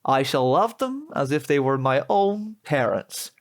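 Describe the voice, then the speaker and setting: A man playing a prim, smugly polite young replacement son in a comic audio drama, formal, measured and reproachful. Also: on the high side, very animated.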